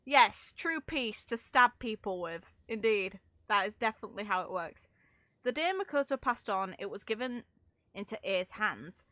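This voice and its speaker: Bright-voiced woman, wry and casual. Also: medium pitch, animated, normal speed, dry recording. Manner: wry, sarcastic asides, stumbles while reading. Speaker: streamer reading and reacting to game lore. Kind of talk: casual reading aloud with off-the-cuff commentary.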